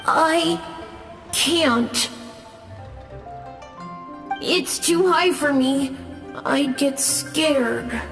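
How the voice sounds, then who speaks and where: A woman's voice: high-pitched, extremely slow, creaky, long pauses, full character voice. A woman voicing a timid, apologetic little boy ghost in a video game, meek and hesitant. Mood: sheepish. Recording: reverberant voice with faint music behind.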